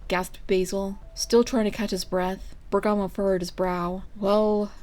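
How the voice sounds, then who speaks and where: A woman reading a story aloud as its narrator, in an expressive scripted style. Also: mid-range voice, normal speed. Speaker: fan fiction reader.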